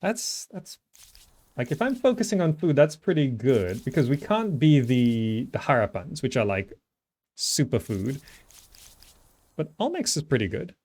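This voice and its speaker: A man's voice: medium pitch, big pitch swings, measured pace, darkish tone, slightly rough. A man giving casual live commentary as he streams a strategy game, thinking aloud as he plays. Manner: thinking aloud while playing.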